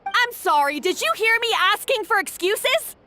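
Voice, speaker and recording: A woman's voice: very high voice, expressive, moderate pace, pushed and bright, faint music behind, full character voice. An actress playing a harsh, bossy head chef who berates her kitchen staff, in a game cutscene. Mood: scolding. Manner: scolding, contemptuous. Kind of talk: game voice acting.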